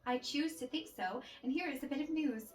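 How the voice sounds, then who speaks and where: A woman's voice: high voice, brisk, far from the mic, natural voice. A woman voicing a proud, wounded young man in theatrical rhyming verse, as in a storybook play. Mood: wounded pride. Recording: roomy echo with music behind.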